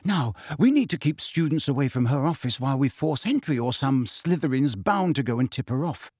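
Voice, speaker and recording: A man's voice: mid-range voice, expressive, fairly quick, a little mellow, slightly rough, no echo, clean studio sound. A man voicing a clever, anxious teenage girl witch who argues fiercely and pleads.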